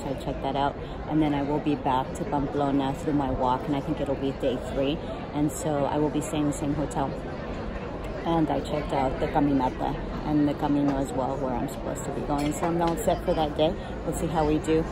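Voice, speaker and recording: A woman in her forties from the United States, chatting in a rambling way with lots of fillers, filmed on a phone at arm's length at an outdoor cafe. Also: deep voice. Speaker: travel vlogger. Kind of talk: casual travel vlog. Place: outdoor cafe on a city plaza. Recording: loud crowd and street noise. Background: busy street crowd.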